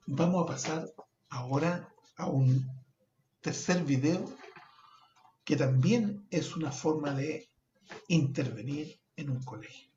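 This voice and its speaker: A man with a Chilean Spanish accent, a psychologist giving an online talk in a measured lecturing way. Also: fairly high pitch, mellow. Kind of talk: online presentation. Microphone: video call. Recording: lo-fi roomy video call audio with faint noise.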